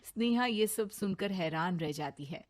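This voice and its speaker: A woman's voice: lowish voice, animated, normal speed, heavy background noise. A woman narrating a scripted cartoon story in a storytelling style.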